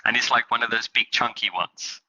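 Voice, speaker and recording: A man from England, a company's chief executive, answering interview questions in halting phrases with many fillers. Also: mid-range voice, fairly even intonation, bright, forceful tone, low-quality recording. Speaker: guest, company CEO.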